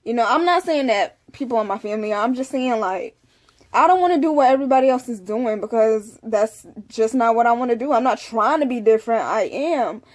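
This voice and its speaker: A woman's voice: on the high side, animated, fairly quick. A young woman in casual, candid talk to the camera, rambling with frequent "you know"s. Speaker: vlogger.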